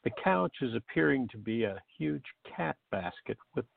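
A man narrates a farcical radio play from a script. His voice is gravelly and creaky at a middling pitch, and the sound is dry, thin and phone-like. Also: expressive, normal speed, no room sound. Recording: tinny, narrow phone-like sound.

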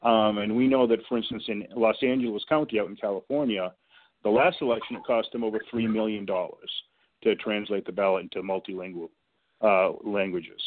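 A man's voice: on the low side, steady tone, brisk. A man calling in to a talk show as a guest and making his case emphatically, with sports comparisons. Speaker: guest advocacy director. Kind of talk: phone-in radio interview. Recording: tinny phone line.

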